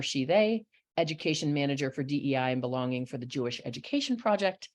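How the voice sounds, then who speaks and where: A woman moderating a panel discussion over a video call, reading agreements and introducing guests with small apologetic asides. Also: low-pitched.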